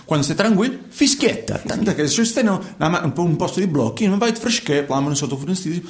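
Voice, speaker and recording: An actor playing a naive young man who drives migrants and dreams of singing, with a southern Italian accent, in an excitable, repetitive, colloquial radio theatre style. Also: on the high side, fast, echoey room. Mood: eager, animated.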